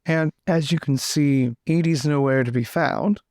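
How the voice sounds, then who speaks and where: A man's voice: a little high, measured pace, darkish tone, dry recording, clean studio sound. A man playing a breezy, teasing young lord in a tabletop game, with playful bursts of repeated words. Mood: bantering.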